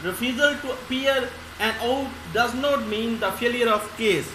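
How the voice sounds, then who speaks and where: A woman with a Pakistani accent giving a law lecture, reading notes aloud deliberately and explaining them by restating. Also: a little high. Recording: roomy echo, loud background noise.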